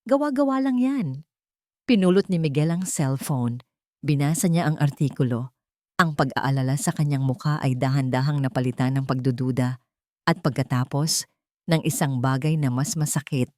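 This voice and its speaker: A woman's voice: rumbling low, fairly even intonation, normal speed, mellow, no room sound, studio quality. A woman storyteller reading a scripted, dramatic story narration.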